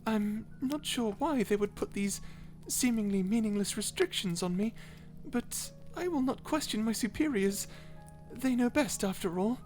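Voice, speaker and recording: A woman voicing a kind, dutiful guardian angel in a character audio roleplay, gentle, formal and measured. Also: fairly high pitch, expressive, soft background music. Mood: calm, dutiful.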